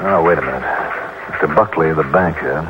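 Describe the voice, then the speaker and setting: An actor playing an insistent insurance investigator in a 1950s radio detective drama, heard through a noisy old broadcast. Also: male voice, very deep voice, fairly quick, dull audio. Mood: probing.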